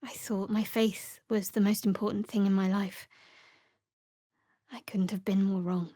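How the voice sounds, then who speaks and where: A woman playing a guarded, sardonic cleric of a dark goddess in a video game, with a dry, wry delivery. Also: mid-range voice, flat delivery, a little slow, no echo, close to an everyday voice. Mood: tender, confiding. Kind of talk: video game character voice lines.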